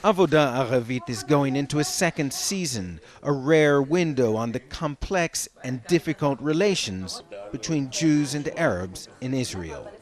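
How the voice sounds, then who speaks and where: A man narrating a news report as correspondent, with a measured, even broadcast delivery. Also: mid-range voice.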